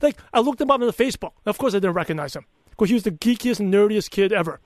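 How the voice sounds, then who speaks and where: High-voiced man, Brooklyn-Chinese accent, lisp. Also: animated, racing, darkish tone, no echo, studio quality. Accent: Brooklyn mixed with Chinese. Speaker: podcaster and coach, interview guest. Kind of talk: conversational interview answers with personal anecdotes.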